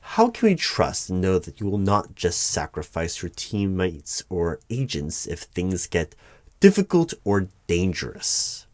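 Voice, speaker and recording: A man playing a wary, unflappable hiring manager in an audio roleplay interview, speaking in a measured, formal, wordy way with hesitant pauses. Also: low-pitched, wildly swooping pitch, a little mellow, no echo, studio quality, full character voice. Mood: wary, dry. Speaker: roleplay performer. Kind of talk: one-sided ASMR audio roleplay interview.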